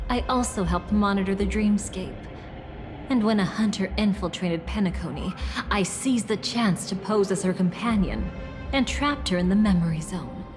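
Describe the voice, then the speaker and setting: A woman's voice: mid-range voice, lightly acted. An actress voicing a scheming, theatrical young woman in a video game, playful and mocking, with music underneath. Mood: taunting. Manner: playful, mocking, dramatic swings in tone.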